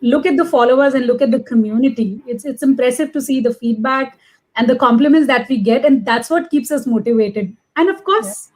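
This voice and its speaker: Female interview guest, high voice, casual delivery, effusive and emphatic. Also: fairly quick, darkish tone, low-quality recording. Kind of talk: casual conversational talk.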